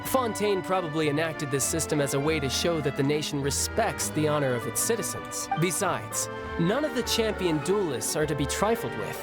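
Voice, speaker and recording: A man's voice: on the high side, lively intonation, moderate pace, hardly any pauses, over loud music, natural voice. A man voicing a breezy young battle-hungry warrior in a video game, speaking in a casual, musing manner. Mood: breezy, explaining.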